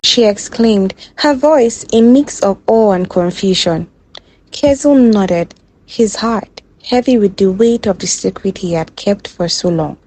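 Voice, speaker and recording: A woman's voice: medium pitch, a little slow, mellow, no echo, rough recording. Woman narrating a dramatic folktale. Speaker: storyteller.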